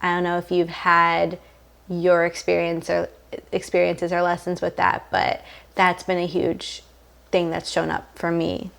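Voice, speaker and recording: A woman's voice: lowish voice, monotone, measured pace, creaky, a bit bright. A woman hosting a casual podcast conversation, agreeable and thoughtful, often murmuring along. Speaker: podcast host.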